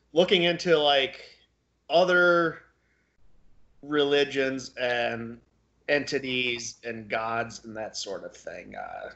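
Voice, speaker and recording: A man with an average-pitched voice co-hosts a casual podcast. He talks haltingly and searches for words as he explains things. The recording is a little dull and lo-fi. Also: a bit bright. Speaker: podcast co-host. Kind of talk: casual discussion of a book.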